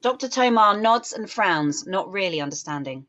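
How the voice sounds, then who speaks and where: A woman narrating stage directions in a script table read, measured and descriptive. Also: medium pitch, animated, a bit bright, muffled recording.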